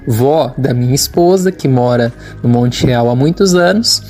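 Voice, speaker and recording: A young man from northern Paraná with a caipira Portuguese accent, hosting a history podcast in an informal, hesitant way, with many fillers and restarts. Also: fairly high pitch. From Norte Pioneiro, Paraná, Brazil. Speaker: podcast host, history student. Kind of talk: informal spoken podcast narration.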